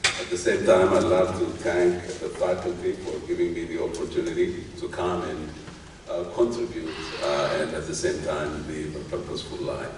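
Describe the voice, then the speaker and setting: An Ethiopian man at a graduation podium, heard from back in the hall, pausing between phrases as faint noise hangs around him. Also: sits low, flat delivery. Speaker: graduation speaker, tech executive. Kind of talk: commencement speech. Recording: very echoey hall, distant mic, faint noise.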